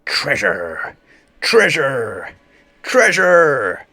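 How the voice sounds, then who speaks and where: A hearty pirate captain from a children's storybook, acted out by a man. He is greedy and blustery, talking in old seafaring style at an unhurried pace. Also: mid-range voice, wildly swooping pitch, bright, forceful tone, pitched above the performer's own voice, full character voice. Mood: thrilled greed.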